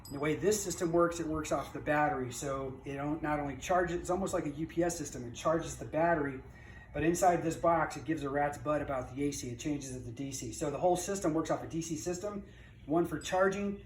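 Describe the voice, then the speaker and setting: A man's voice: on the high side, fairly quick. A middle-aged man giving a casual, unscripted walkthrough of a project as a vlogger, rambling along in a folksy way. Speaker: vlogger reselling auction finds. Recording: roomy, distant mic, background noise.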